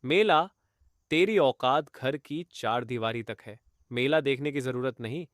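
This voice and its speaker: A man's voice: medium pitch, unhurried, a bit bright, dry recording, studio quality, close to an everyday voice. A man voicing a cruel, domineering husband in a cartoon story, harsh and commanding. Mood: harsh angry.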